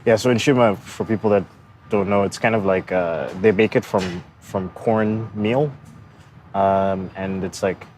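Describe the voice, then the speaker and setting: A man's voice: fairly low pitch, steady tone, measured pace, darkish tone, slight background noise. A man from Zambia chatting casually and informally as a podcast co-host.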